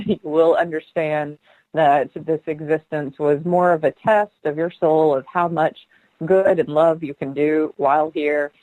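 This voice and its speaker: A woman's voice: deep voice, no room sound, phone-quality sound. A middle-aged woman guest on a radio interview, speaking earnestly and laughing often as she tells her stories.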